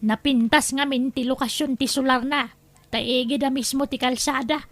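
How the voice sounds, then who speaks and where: An actress playing a scheming wife who talks in a coaxing, sly way. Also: female voice, high-pitched, steady tone, moderate pace, no echo. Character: scheming wife of a greedy man. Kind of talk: radio drama acting.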